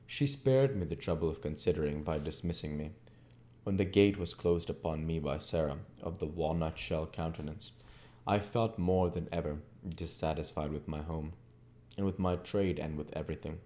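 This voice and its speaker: A man's voice: extremely low pitch, mellow. A man reading a classic novel aloud as narrator, now and then stumbling over words and correcting himself. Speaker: audiobook reader.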